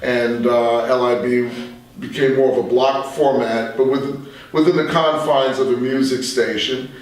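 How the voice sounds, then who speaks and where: A man's voice: mid-range voice, fairly even intonation, measured pace. Older man reminiscing in a very echoey room. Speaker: interview guest, radio veteran. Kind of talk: conversational reminiscing. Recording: very echoey room, distant microphone.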